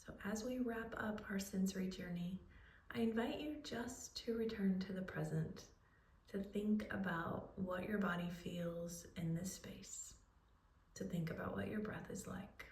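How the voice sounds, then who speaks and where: A woman leading a guided relaxation, speaking in a soothing, unhurried way with pauses as she gives gentle instructions. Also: mid-range voice, fairly even intonation. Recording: roomy echo, distant microphone.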